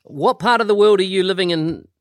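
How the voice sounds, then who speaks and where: A man's voice: high-pitched, fairly quick, dry recording, clean studio sound. A man hosting an interview podcast, asking friendly, curious questions. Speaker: podcast host. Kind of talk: casual interview chat.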